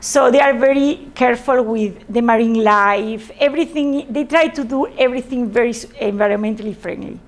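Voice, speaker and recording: A woman from Spain with a Spanish accent gives an informal presentation to a group, haltingly, often repeating and correcting words. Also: a little high. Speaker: presenter, sailor.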